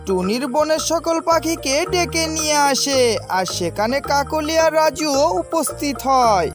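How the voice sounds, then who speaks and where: A woman's voice: sits high, animated, unhurried, music in the background. A woman narrator reading a scripted children's story.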